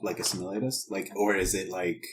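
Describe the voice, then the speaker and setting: A man chatting casually as a player in a tabletop game, asking questions in an inquisitive, halting way. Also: low voice, little pitch movement, a little hiss or hum.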